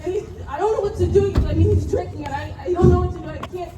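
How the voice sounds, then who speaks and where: A woman acting out a panicked young woman at a staged car crash, shouting and stammering. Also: very high-pitched, fast talker, echoey room, far from the mic, a loud crowd, heavy background noise.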